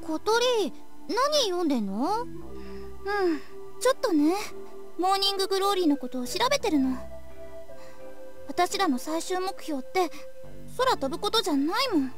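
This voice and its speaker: A woman voicing a lively teenage schoolgirl in a Japanese visual novel. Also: extremely high pitch, normal speed, long pauses. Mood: casual, wistful. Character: lively teenage anime schoolgirls chatting about summer. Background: game music.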